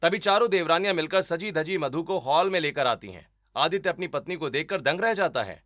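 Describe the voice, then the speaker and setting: Male storyteller, bright forceful delivery, fairly high pitch, expressive dramatic family-story narration. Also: brisk.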